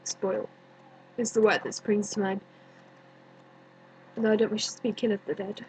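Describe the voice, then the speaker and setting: A woman performing a dramatic stage monologue as a bitter, grieving young woman, deliberate and accusing, with many pauses. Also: mid-range voice, little pitch movement, a little mellow, a little hiss or hum, lightly acted, rough recording. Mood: bitter, mocking. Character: bitter grieving young woman haunted by her dead cousin.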